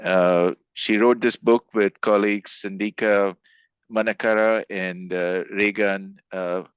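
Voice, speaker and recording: A man hosting an online seminar, reading out a guest introduction haltingly over a video call. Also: fairly low pitch, steady tone, clean tone, no echo, dull audio. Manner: halting, stumbles over names. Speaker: seminar host.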